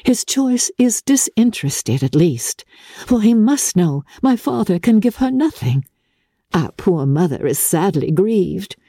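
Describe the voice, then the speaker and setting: A woman reading a classic novel, voicing an anxious, gentle young gentlewoman in an earnest, tender manner. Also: medium pitch, very animated, unhurried, husky, dry recording, clean studio sound, voice pushed up, full character voice. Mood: worried, hopeful. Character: anxious, gentle, hopeful young gentlewoman writing to her sister.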